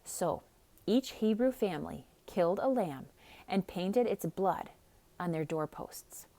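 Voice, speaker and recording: A woman storyteller reading a picture book aloud for children in an expressive, dramatic style. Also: fairly low pitch, a little slow. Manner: expressive, dramatic, with hushed emphasis. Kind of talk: theatrical read-aloud narration with casual asides.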